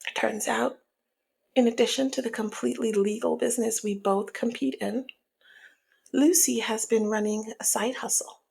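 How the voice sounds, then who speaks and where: A woman playing a teasing, dominant mob boss in an ASMR roleplay, with a breathy, husky voice that often slips into a whisper and a slyly menacing, sultry manner. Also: on the high side, fairly even intonation, moderate pace, darkish tone, exaggerated voice. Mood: sly, businesslike. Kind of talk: ASMR roleplay audio drama.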